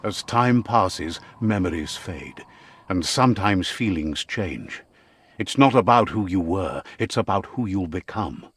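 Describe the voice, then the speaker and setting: An actor playing a whimsical, wise storyteller who narrates an animal fable in a video game with theatrical, philosophical delivery. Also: male voice, sits low, lively intonation, unhurried, slightly rough, heavily acted. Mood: wise, reflective.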